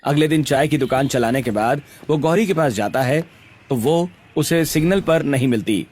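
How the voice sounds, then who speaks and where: A man narrating a scripted animated story. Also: mid-range voice, normal speed, husky.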